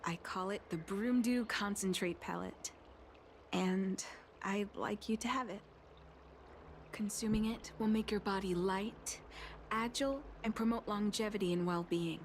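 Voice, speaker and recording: Courteous, measured actress as a woman alchemist, noisy background. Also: medium pitch, close to an everyday voice. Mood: gentle, courteous. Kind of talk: video game character dialogue.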